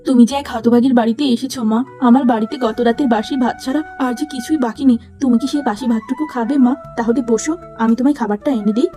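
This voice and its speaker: A woman voicing a humble, long-suffering poor young woman in a cartoon, gentle and plaintive. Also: fairly high pitch, fast, hardly any pauses, music in the background, natural voice. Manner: plaintive, pleading.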